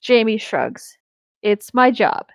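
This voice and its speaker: Female co-host for a read-aloud, slow-paced, slightly high voice, teasing, recorded dry. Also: animated, clean tone, dry recording. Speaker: co-host reading story parts. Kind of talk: casual story reading with banter.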